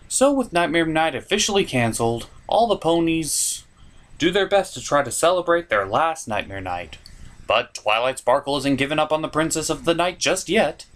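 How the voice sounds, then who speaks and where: A man's voice: fairly high pitch, a bit bright. A man giving a scripted comedic review as host, with an exasperated, sweary, ranting delivery. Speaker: puppet-show reviewer and host.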